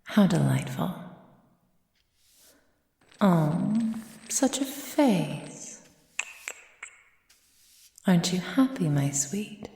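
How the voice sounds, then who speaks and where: Female vampire mistress, played by a woman, ASMR roleplay: very low, unhurried, possessive and condescending, half-whispered, in a reverberant room. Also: animated, mellow, heavily acted. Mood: mock sweet. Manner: silky, measured, formal, often dropping into breathy whispers. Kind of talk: slow, intimate ASMR roleplay. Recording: echoey room with long reverb.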